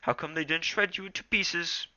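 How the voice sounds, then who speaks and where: A man voicing an excitable, easygoing young male house cat in a storybook, with an eager, shrill and dramatic manner. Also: normal speed, no echo, muffled recording. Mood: excited, shocked.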